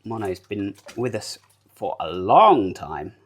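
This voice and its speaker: A man's voice: fairly low pitch, sing-song, slow pace, breathy edge. British male streamer, cozy rambling chat with chat, bouncy up-and-down delivery, frequent laughs, home kitchen. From United Kingdom. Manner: rambling, chatty, laughs often, answers chat. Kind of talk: casual live-stream chat. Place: home kitchen while baking.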